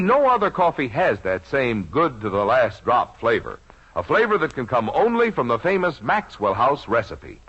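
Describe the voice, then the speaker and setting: An American man working as a commercial announcer, giving a folksy, persuasive 1950s radio sales pitch. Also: a bit bright, husky. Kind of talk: 1950s radio ad read, smooth-talking pitch. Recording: muffled old radio recording.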